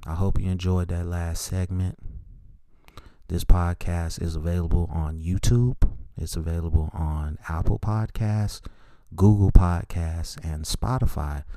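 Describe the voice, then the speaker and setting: Low-voiced, mellow man hosting a sports podcast. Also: unhurried, no room sound, clean studio sound. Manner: casual, opinionated, conversational. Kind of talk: solo sports podcast commentary.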